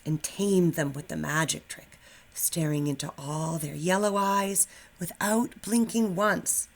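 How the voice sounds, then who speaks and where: A woman's voice: lowish voice, unhurried. A middle-aged woman speaking as a teacher to young pupils, informal and encouraging, in a children's read-aloud style. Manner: informal, encouraging, slightly nervous. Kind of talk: casual chat and expressive children's storybook read-aloud.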